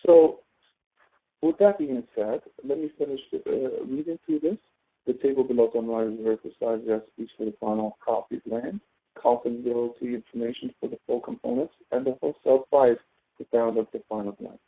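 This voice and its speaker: A man's voice: mid-range voice, brisk, vocal fry. A man teaching as a professor in an informal tutoring walkthrough, explaining step by step and often checking in with "okay?". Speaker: professor teaching an online class. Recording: thin phone-like audio.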